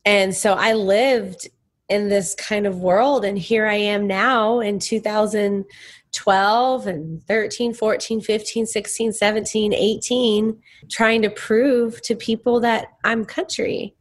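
Young woman guest from Texas, mid-pitched, candid and emphatic. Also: steady tone, takes it slowly. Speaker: guest musician. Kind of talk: conversational interview.

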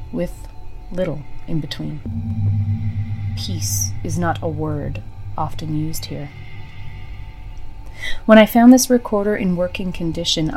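A woman playing a weary, practical survivor who records a memoir of a burned world in a solemn, measured monologue. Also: low voice, vocal fry, dark tone, poor audio. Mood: somber, wistful. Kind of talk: scripted audio drama monologue.

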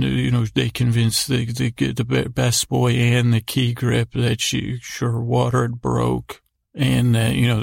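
A man with heavy vocal fry talks in an even, limited pitch range, recorded close and dry. He meanders through improvised bedtime chatter, stammering and repeating words as a podcast host. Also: dry recording. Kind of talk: rambling bedtime monologue, improvised nonsense.

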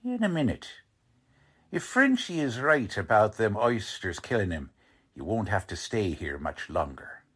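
An actor playing a gruff, bossy police lieutenant with tough-guy slang and brusque wisecracks, in 1940s radio drama style. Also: lowish voice, sing-song, unhurried, a bit bright, heavily acted. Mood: commanding. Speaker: police detective character.

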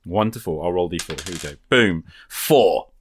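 A man's voice: low voice, animated, moderate pace, slight background noise. A man thinking aloud good-humouredly as he plays an improvised tabletop role-playing game in casual conversation.

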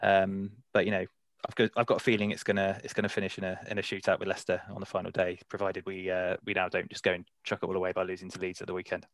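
A man's voice: sits low, completely flat delivery, fast, dry recording. A man co-hosting a podcast in casual chat, eager and hesitant, often repeating words. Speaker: podcast co-host.

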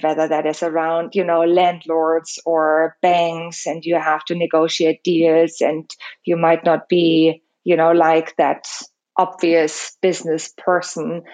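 German-accented woman, low flat voice. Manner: thoughtful, with many fillers and restarts. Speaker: founder of a fitness studio chain. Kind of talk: reflective podcast interview answers.

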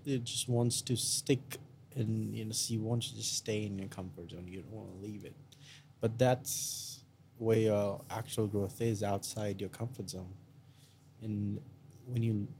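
Podcast co-host, young man raised in India, American-sounding, low-ish voice, swears and laughs easily. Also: mellow, a little hiss or hum. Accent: American-sounding, picked up in India. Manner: swears freely, laughs often. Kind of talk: casual chat.

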